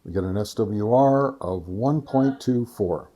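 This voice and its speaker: Mellow, halting man reviewing gear. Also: fairly low pitch. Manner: halting, methodical, reads figures out deliberately. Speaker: video host, radio reviewer. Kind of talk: casual product test narration.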